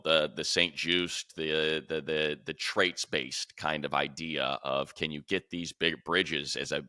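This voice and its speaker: A man's voice: very deep voice, sharp tone, no room sound, studio quality. A man hosting casual sports talk in a conversational way, thinking aloud and restarting phrases as he goes. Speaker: sports show host.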